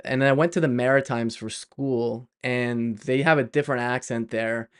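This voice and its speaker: A man's voice: mid-range voice, fairly even intonation, normal speed, smooth. A middle-aged man with a Canadian accent, chatting casually on a live stream, laughing often and full of fillers and restarts. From Canada (Montreal).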